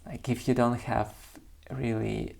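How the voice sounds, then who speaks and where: Non-native english guy reading his poem then musing, hesitant, lots of pauses, gravelly fry. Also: medium pitch, level tone. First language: not English. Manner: slow, searching, hesitant with many pauses. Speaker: poet and channel creator. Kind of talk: reading own poem, then reflective monologue.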